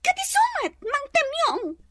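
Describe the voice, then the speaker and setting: A woman acts out a rich young madam in an Ilocano audio drama, haughty and scolding. Her voice is very high and piercingly bright, swinging widely in pitch, with a Filipino accent and a close, dry sound. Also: huge pitch swings, measured pace, no room sound, full character voice. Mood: angry, scornful. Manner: curt, scolding, imperious. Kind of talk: Ilocano radio-style drama acting.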